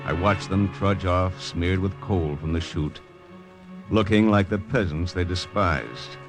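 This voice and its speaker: A hard-boiled agent in an old radio drama, with a low voice. He narrates and speaks in terse, clipped, dramatic phrases, with prominent music on the vintage recording. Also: a little slow. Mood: tense narrating. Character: hard-boiled male agent telling his own wartime story.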